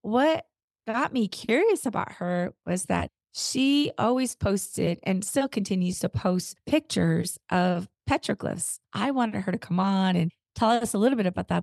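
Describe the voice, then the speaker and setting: A hoarse woman hosting her podcast, her voice gravelly and rough from a cold. She talks in a gentle, unhurried, encouraging way, and the sound is close and dry with no room around it. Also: medium pitch, no room sound. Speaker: podcast host. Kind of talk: reflective podcast talk.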